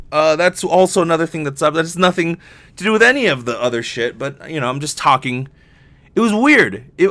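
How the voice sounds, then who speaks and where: A man chatting casually as a solo podcast host, rambling and animated, swearing freely and often interrupting himself. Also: on the high side, dry recording.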